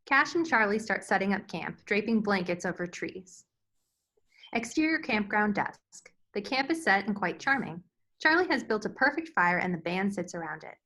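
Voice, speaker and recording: A woman's voice: medium pitch, sing-song, moderate pace, fairly bright tone. A woman narrator reading screenplay stage directions as scripted narration.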